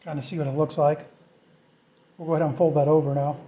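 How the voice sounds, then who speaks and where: A man's voice: on the high side, flat delivery, quick pace, dark tone. A middle-aged man casually narrating a hands-on tutorial as he works, thinking aloud in an informal, self-deprecating way. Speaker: DIY vlogger.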